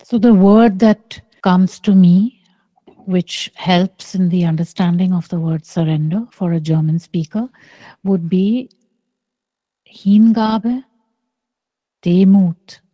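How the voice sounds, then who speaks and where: Slow, soft-spoken woman teacher. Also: fairly low pitch, fairly even intonation, no room sound, poor audio. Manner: slow, soft and deliberate, with long pauses. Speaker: spiritual teacher. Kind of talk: slow contemplative spiritual teaching. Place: small room indoors. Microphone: close microphone on a video call.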